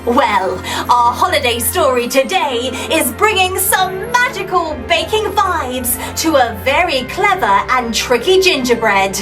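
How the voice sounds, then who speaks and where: A woman hosting a children's holiday show, talking in a bubbly, excited way. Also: sits high. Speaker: host. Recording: distant mic over loud music. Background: music.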